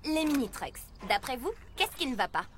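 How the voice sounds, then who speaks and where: A woman's voice: high-pitched, big pitch swings, normal speed. A woman voicing a cheerful artist truck who supervises youngsters in a children's cartoon, teacherly and exclamatory. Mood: instructive. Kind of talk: French children's cartoon acting. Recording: music behind the voice.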